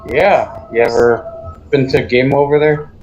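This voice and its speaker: A man's voice: medium pitch, fairly even intonation, measured pace, muffled recording, music in the background. A man from Germany, a native German speaker, explaining things in a matter-of-fact way during casual game voice chat.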